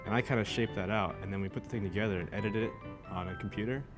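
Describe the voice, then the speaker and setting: Young male student musician, lowish voice, conversational interview, clear guitar music underneath. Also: very fast. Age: young adult. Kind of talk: interview explanation.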